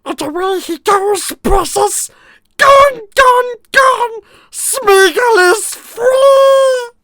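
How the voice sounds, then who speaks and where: Slimy raspy cave creature, wretched n spiteful, hissy, high pitched and dragging words out. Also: male voice, animated, bright, forceful tone, no echo, clean studio sound, heavily acted. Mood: defiant, exultant. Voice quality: raspy, hissing, creaky. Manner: slow muttering, swinging between whimper and shriek.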